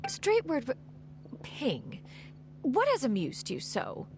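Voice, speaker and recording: A woman's voice: high voice, wildly swooping pitch, takes it slowly, exaggerated voice. An actress playing an aloof, dignified immortal woman with stiff, archaic diction in a video game, music behind. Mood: courteous.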